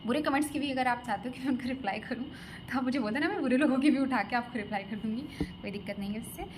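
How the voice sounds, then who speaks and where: A woman's voice: on the high side, racing. A young woman from Lucknow, vlogging casually as she answers viewer comments about her exam preparation, talking in a chatty, hesitant way with many fillers and restarts. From Lucknow, India. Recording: audible background noise.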